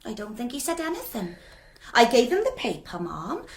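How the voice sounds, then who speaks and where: High, swooping, nervous housemaid. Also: female voice, huge pitch swings, moderate pace. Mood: nervous, meek. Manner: hesitant, rustic plain speech. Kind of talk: radio play acting.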